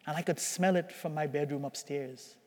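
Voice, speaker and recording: A man preaching a homily as a priest, with a slightly raspy voice and a measured, emphatic delivery full of dramatic pauses. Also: a little high, a little mellow. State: slightly raspy voice from recent illness. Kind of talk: sermon. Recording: long hall reverberation.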